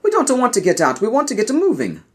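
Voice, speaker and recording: An excitable little plumber hero, pitched very high in falsetto. He frets and exclaims in a swooping sing-song, like a cartoon character in an audio play. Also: quick pace. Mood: urgent. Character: excitable Italian-accented plumber Jedi master, falsetto, fretful.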